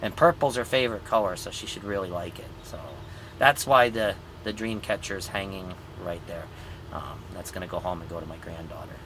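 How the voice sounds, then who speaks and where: A man's voice: low voice, a little fast, a bit bright, a little hiss or hum, low-quality recording. A man in his fifties, a truck driver and grandfather, gives a rambling, easygoing vlog update from inside his truck cab, recorded on a phone, and says he is tired. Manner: rambling and easygoing, with many ums. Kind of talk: casual vlog update to viewers. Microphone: phone camera at arm's length.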